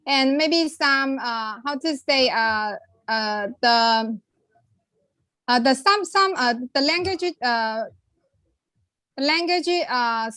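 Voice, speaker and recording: Bright high-voiced woman, halting speech. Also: lively intonation, no echo. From China. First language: Chinese. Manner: halting, many fillers, searches for words. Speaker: participant. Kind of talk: casual online group chat.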